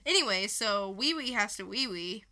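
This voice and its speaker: A woman's voice: medium pitch, animated, unhurried, pushed and bright. A woman co-hosting a podcast, chatting casually through a comic book review and retelling it with casual swearing. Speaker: podcast co-host.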